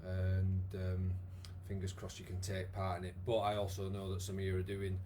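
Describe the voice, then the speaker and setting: A man with a croaky voice, leading a live online exercise class with many fillers. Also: low voice, completely flat delivery, moderate pace, dark tone, a little hiss or hum. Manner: chatty, encouraging, lots of fillers. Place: home room with wall and bar. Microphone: device camera, moves away at times.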